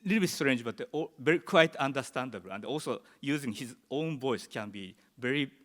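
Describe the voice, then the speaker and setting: A man with a Japanese accent giving a conference presentation in English, halting and searching for words. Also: medium pitch, lively intonation. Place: large conference hall. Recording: long hall reverb.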